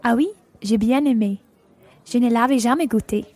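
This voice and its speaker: A polite holidaymaking woman acted by a woman in a language-course radio play. She speaks in a fairly high voice with an agreeable, pleasant manner. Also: moderate pace, darkish tone, natural voice.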